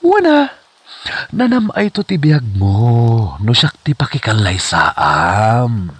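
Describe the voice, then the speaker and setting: A man's voice: mid-range voice, huge pitch swings, slow pace, husky, full character voice. A man playing an eager, nervous and boastful suitor in an Ilocano comedy drama, with an Ilocano accent. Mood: boastful wooing.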